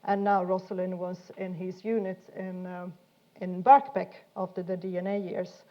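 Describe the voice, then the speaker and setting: A woman's voice: lowish voice, fairly even intonation, normal speed, lo-fi sound. A woman giving an informal science lecture in an explanatory, hesitant way, often repeating words as she goes. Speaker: speaker giving a talk.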